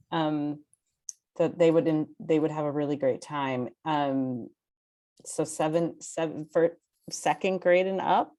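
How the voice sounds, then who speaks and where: Female panel guest, slightly low pitch, casual, tentative delivery with searching phrases. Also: a little mellow.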